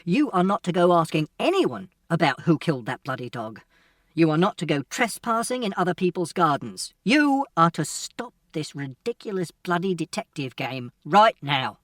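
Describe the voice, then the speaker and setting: A man's voice: on the high side, animated, normal speed, no echo, clean studio sound, distant mic, natural voice. A man voicing a stern, exasperated father who scolds his son in a commanding, clipped way. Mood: furious.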